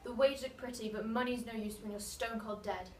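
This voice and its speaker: A woman's voice: fairly high pitch, steady tone, a little fast, a little mellow, close to an everyday voice. Young wartime wife and factory girl played by a woman, pointed delivery, distant echoing sound. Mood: bitter, angry. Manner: declamatory, pointed. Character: soldier's young wife, bitter munitions factory worker. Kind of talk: dramatic slam poetry recital. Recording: noisy, echoey room, mic far off.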